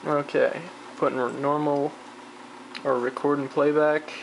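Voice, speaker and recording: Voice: mid-range voice, little pitch movement, measured pace. A person chatting casually and off the cuff while demonstrating a vintage machine, rambling and muttering to themselves as they work. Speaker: hobbyist demonstrating vintage tape recorder. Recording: clearly noisy home video, machine hum.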